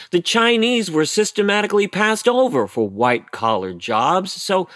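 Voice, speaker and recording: Stern middle-aged dad, indignant and blunt, swooping emphatic delivery, close dry sound. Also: male voice, a little high, a bit bright, no room sound. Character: stern, indignant immigrant-family father, engineer. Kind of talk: audio play acting.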